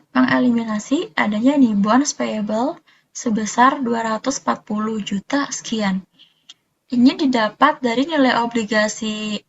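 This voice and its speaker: Young woman, kinda high voice, explaining stuff step by step like a student presentation, bit muffled audio. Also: slight background noise. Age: young adult.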